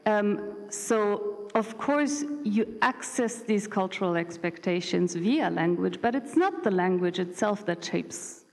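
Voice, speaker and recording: A woman's voice: medium pitch, expressive, unhurried, vocal fry, few pauses, a little mellow. A woman in the audience at a conference, asking the speaker a question politely and hesitantly, with many fillers. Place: university lecture hall. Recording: reverberant lecture hall, mic at a distance.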